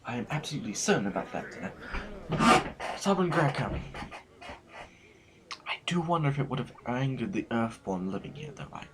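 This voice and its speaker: A man's voice: medium pitch, sing-song, normal speed, pauses often, background noise, close to an everyday voice. A man playing a prim, deferential, status-conscious official in an audio drama, speaking formally and fussily. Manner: formal, fussy, hesitant phrasing.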